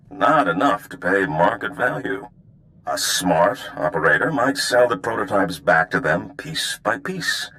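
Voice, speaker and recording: An actor playing a sly, easygoing underworld operative giving briefings in a video game. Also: male voice, lowish voice, sharp tone, low-quality recording.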